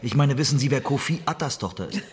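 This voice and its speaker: An earnest investigator played by a male actor speaks at an ordinary male pitch. He probes and insists as he questions villagers, in the manner of a radio drama. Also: animated, fast talker, lightly acted. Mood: probing. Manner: probing, insistent. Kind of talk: audio drama acting.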